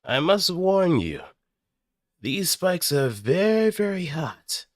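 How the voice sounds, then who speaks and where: Higher-pitched menacing mecha pilot. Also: male voice, very animated, dry recording, studio quality. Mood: menacing warning. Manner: drawn-out warnings, then yelled attacks. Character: polite but menacing male spike-wielding mecha pilot. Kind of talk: anime robot game battle lines.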